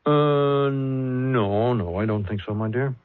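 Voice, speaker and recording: An actor playing a polite, distracted writer who talks to his wife in a soft, courtly way. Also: mid-range voice, slow, natural voice. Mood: flustered. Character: genteel, flustered male writer. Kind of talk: 1970s radio drama acting. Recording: tinny old radio broadcast.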